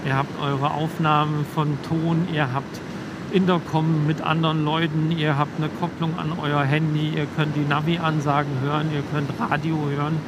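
A young man riding a motorcycle on country roads, casually explaining gear through his helmet intercom mic, relaxed, with frequent filler words. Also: on the high side, normal speed, dull audio. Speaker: motovlogger. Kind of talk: casual motovlog talk while riding. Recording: helmet mic with road noise. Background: road and vehicle noise.

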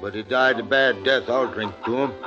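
A man's voice: mid-range voice, moderate pace, lightly acted. An American man playing a blunt, wary frontier hide skinner with a plain rural Western drawl in an old-time radio drama. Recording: muffled vintage radio recording with music.

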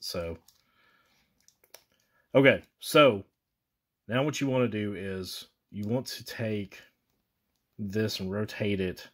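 A man reviewing a toy at a table, casually talking viewers through each step with fillers and self-corrections. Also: deep voice. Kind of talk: casual hands-on toy demonstration. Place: indoors at a table with a posterboard backdrop.

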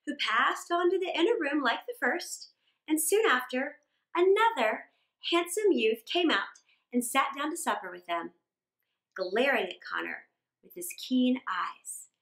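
A middle-aged woman tells a children's story in a high voice with big swings of pitch. She stretches key words for dramatic emphasis. The room adds a noticeable echo. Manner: expressive, drawn-out emphasis. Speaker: storyteller reading aloud for children. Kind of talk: dramatic scripted story reading. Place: small indoor room. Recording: roomy indoor echo.

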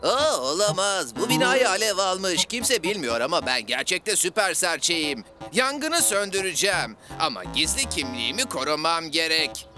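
Heroic sparrow crimefighter, bold, theatrical, swooping high voice, cartoon superhero parody. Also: male voice, sing-song, normal speed, hardly any pauses. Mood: resolute. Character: bold, boastful superhero sparrow with secret identity. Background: music.

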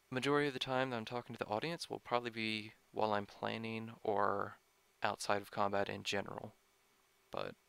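Young man, casual gaming commentator, low gravelly voice with a bright edge. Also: no room sound. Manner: casual, chatty, self-deprecating. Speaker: gameplay commentator. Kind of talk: let's play commentary.

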